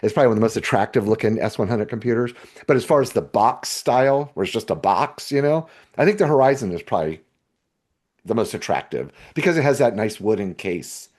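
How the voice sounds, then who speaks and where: A man's voice: on the low side, animated, fairly quick, a little mellow. A man co-hosting a podcast in casual, unscripted talk, hesitant and rambling as he thinks aloud. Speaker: podcast co-host. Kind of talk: casual unscripted discussion of a book chapter.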